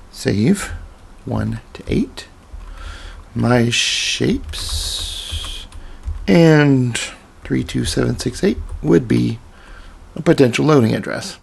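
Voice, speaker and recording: A man with a slightly raspy voice narrates a programming tutorial over a screen recording. He talks in a relaxed, explanatory way with casual asides, at a medium pitch, and his voice often drops into a gravelly fry. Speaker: software tutorial presenter.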